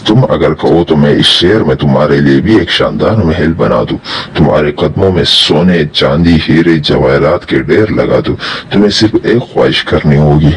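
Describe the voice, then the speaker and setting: Man voicing a deep, husky, solemn jinn. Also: animated, normal speed, exaggerated voice. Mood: solemn, generous. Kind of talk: dramatized children's audio story in Urdu.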